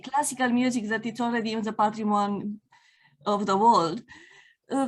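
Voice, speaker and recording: A woman from Romania, a native Romanian speaker, talking as a guest over a video call, steady with frequent fillers. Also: sits high. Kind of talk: casual interview talk.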